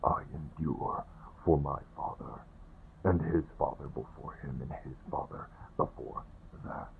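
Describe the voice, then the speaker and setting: Male actor as bitter elderly king in fantasy audio drama: creaky commanding voice, thin narrow-band recording. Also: rumbling low, steady tone, a little slow, a little hiss or hum, heavily acted. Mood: grave, weary. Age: older. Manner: commanding, unyielding. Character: stern, bitter old warmongering king and father. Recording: muffled narrow-band sound.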